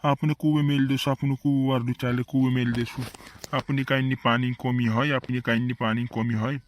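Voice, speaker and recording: A man's voice: medium pitch, little pitch movement, moderate pace, a little hiss or hum, lightly acted. A man voicing a practical, insistent villager in a comedy cartoon, with a rural western Madhya Pradesh accent and repetitive lines.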